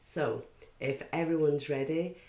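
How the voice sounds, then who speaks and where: A middle-aged woman reading a children's picture book aloud with expressive narration. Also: deep voice, unhurried. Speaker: children's story reader.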